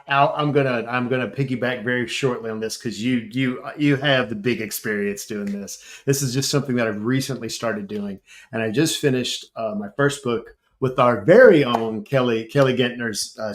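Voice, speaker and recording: A man voice actor chatting on a panel, telling animated stories with frequent laughs and repeated starts. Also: mid-range voice. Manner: animated storyteller, laughs often, repeats himself. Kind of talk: casual panel chat.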